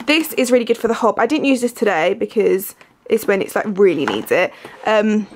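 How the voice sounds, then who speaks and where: A woman's voice: medium pitch, lively intonation, fairly quick, a little hiss or hum. A British woman in her twenties chatting casually to camera in an informal, self-deprecating way, like a home vlogger. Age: 20s. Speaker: cleaning and lifestyle vlogger. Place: indoors at home, living room and kitchen.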